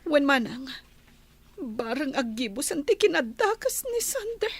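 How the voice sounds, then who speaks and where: An actress playing an anguished, fearful wife in an Ilocano radio drama. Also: female voice, sits high, big pitch swings, a little slow, no echo, exaggerated voice. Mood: troubled, pleading.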